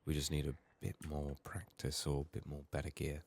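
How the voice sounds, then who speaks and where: A man giving soft-spoken ASMR game commentary, murmuring haltingly and slipping into whispers, his voice strained from being sick. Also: rumbling low, completely flat delivery, vocal fry. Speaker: ASMR gaming video host.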